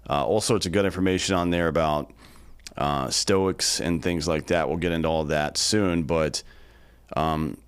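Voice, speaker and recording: A man's voice: a bass voice, steady tone, measured pace, clear, resonant tone. An American man hosting a podcast interview in a laid-back, joking way, with many hesitations and ums. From United States. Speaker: podcast host. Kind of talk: casual interview conversation.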